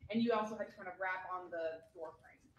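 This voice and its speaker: A woman's voice: on the low side, a little fast, lo-fi sound. Woman cross-examining in an echoey courtroom. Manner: leading questions with frequent ums. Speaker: defense attorney.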